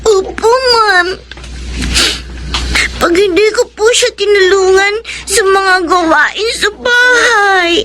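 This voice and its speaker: A woman's voice: very high voice, slow, noisy background. A woman playing a sweet, polite, mistreated little schoolgirl in a radio drama, with a hesitant, deferential, childlike manner. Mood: tearful.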